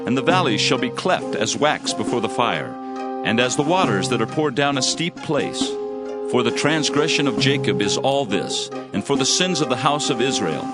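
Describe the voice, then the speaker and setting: Male actor as solemn ancient prophet, lively swooping pitch, declamatory scripture reading, music underneath. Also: close to an everyday voice. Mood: solemn warning. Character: grave male Hebrew prophet proclaiming judgment and lament. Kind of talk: dramatized audio Bible reading. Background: background music.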